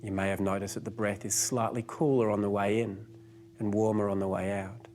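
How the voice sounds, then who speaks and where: A man with a fairly low voice leads a guided meditation. He gives gentle instructions in an unhurried way, leaving pauses between phrases. There is clear background noise on the recording. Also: flat delivery.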